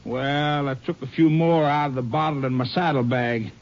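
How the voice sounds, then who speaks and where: A man's voice: a little high, moderate pace, close to an everyday voice. A man playing a folksy old drunk ranch hand. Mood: defensive. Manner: drawling, folksy, defensive. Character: older male drunk ranch hand, hard of hearing. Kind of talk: 1950s radio drama acting. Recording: dull lo-fi old radio broadcast.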